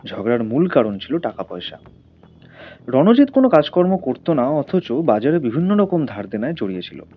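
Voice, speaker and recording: Expressive storyteller, husky male voice. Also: medium pitch, moderate pace, dull audio. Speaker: story narrator. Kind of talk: dramatic scripted audio story narration.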